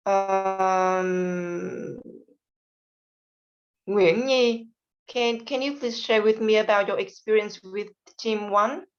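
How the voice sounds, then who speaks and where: Slow, patient woman teacher on a call. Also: medium pitch. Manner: patient, polite, hesitant with fillers. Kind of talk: online class, calling on students. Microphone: video call. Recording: dull lo-fi video call audio.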